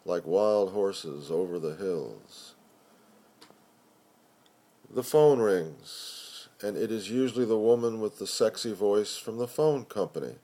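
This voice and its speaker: A man reading a narrative poem aloud in a measured storytelling manner with pauses. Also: lowish voice, warm tone. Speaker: poetry reader. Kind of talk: dramatic spoken-word poetry reading.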